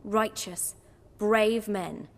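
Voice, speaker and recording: An actress playing a devout, headstrong young runaway daughter in a video game, earnest and defiant with an edge of bitterness. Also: female voice, mid-range voice, very slow. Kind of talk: video game dialogue acting. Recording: long reverberant hall echo.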